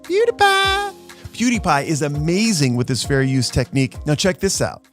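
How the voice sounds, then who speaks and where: A man's voice: on the high side, sing-song, moderate pace, fairly bright tone, soft background music. A man who works as a social media lawyer, giving an upbeat explainer and walking viewers through video clips.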